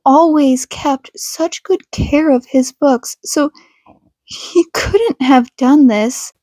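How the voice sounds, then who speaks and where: A woman narrates a piece of fan fiction in audiobook style. Her voice sits high, and she moves through the text at a slow pace. The sound is dry, with very little room around it. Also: dark tone, dry recording. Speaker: podfic reader.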